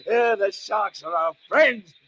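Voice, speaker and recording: A man playing a gleefully cruel lighthouse keeper in old radio drama, gloating with drawn-out, cackling delivery. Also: sits high, expressive, noisy background. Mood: cruel glee.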